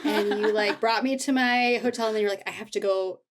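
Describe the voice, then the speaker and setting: A woman's voice: medium pitch, fairly even intonation, fairly quick, a crowd in the background. A woman reminiscing casually as a guest, with a wry, conversational way of telling things. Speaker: author and guest.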